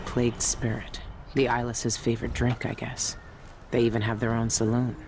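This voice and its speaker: A woman's voice: a bass voice, flat delivery, moderate pace, noisy background. An actress playing a tough, world-weary female assassin in a video game, dry, sardonic and terse. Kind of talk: video game dialogue and inner monologue.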